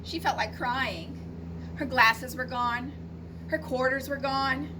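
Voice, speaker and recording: Female read-aloud teacher: higher register, deliberate storybook delivery for young children; noisy, slightly distant recording. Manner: expressive, deliberate phrasing with asides to young listeners.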